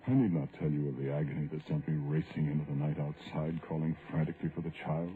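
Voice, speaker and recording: A man's voice: rumbling low, fairly even intonation, fast talker, soft background music. An actor playing a guilt-ridden, grieving man who narrates his ghost story in a solemn, literary radio drama style. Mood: anguished, brooding. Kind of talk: vintage radio horror drama acting. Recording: noisy, narrow-band vintage radio recording.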